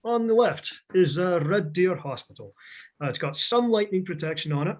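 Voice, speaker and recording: A middle-aged man from Scotland speaks with a blended Scottish and American accent, his voice sitting a little high for a man. He presents a technical seminar over a video call, chatty and energetic with frequent jokes. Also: normal speed. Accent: mixed Scottish and American.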